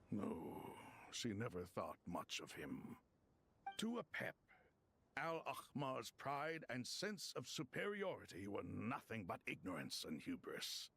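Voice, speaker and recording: An actor playing an ancient, courteous crocodile king spirit in a video game, speaking formally and deliberately. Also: on the low side, vocal fry, fairly bright tone, a little hiss or hum, heavily acted. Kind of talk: video game voice acting, storytelling dialogue.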